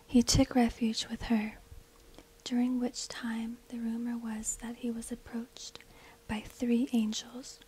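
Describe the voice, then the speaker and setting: A woman narrating a scripted story for ASMR in a breathy, unvoiced whisper, slow and deliberate, close on a binaural microphone. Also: a little high, little pitch movement, slight background noise, low-quality recording. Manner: slow, hushed, deliberate phrasing.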